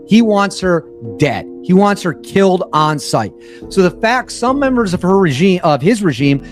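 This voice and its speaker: A slightly hoarse man giving opinionated news commentary, stressing and repeating his points and reading reports aloud. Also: on the high side.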